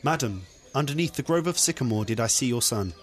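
A man's voice: mid-range voice, lively intonation, fast talker, natural voice. A man playing an earnest, coaxing young nobleman in a student Shakespeare audio play. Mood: concerned. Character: Benvolio, concerned, coaxing young nobleman and cousin.

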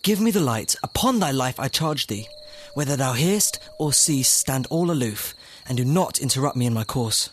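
A man's voice: mid-range voice, expressive, normal speed, a little hiss or hum, lightly acted. A young British man, a student actor playing a desperate, lovestruck banished nobleman in an impassioned Shakespearean audio drama. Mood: urgent command. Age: young adult. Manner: impassioned, poetic, formal delivery.

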